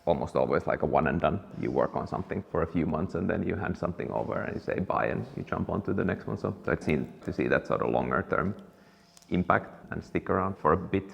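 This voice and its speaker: Low gravelly man from Finland. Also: fast talker, dull audio. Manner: thoughtful, searching for words. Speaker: guest, business partner. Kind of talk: casual conversation. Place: barber shop. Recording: reverberant room, faint ambience.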